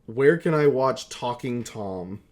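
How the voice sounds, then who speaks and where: A man's voice: medium pitch, expressive, takes it slowly, a little mellow. A young man chatting casually as a YouTuber, rambling and self-mocking, with some swearing. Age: young adult. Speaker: YouTuber and amateur voice actor. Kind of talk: casual comedic vlog chat.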